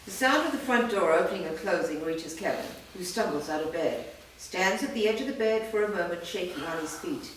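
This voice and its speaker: A woman's voice: on the low side, expressive, brisk, few pauses, slight background noise. Woman reading screenplay directions, echoey. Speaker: reader of a screenplay. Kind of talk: staged reading of stage directions. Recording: echoey room from far off.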